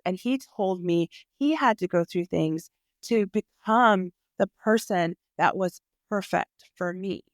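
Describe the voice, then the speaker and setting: A woman's voice: medium pitch, no room sound. A woman in her forties hosting a podcast, giving an earnest, emphatic faith-based motivational talk, speaking intimately to the listener and repeating phrases for stress. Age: 40s. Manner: addresses listener intimately as sis. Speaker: podcast host and dating coach.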